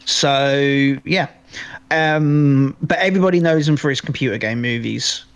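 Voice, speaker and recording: A man's voice: medium pitch, unhurried, clean tone. Male podcast co-host, conversational, loose and rambling delivery with repeated words. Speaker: podcast co-host discussing films. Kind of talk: casual chat.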